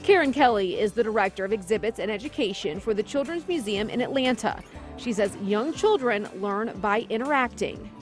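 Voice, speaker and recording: A woman's voice: on the high side, expressive, a little fast. An American woman narrating a scripted health segment as a reporter. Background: background music.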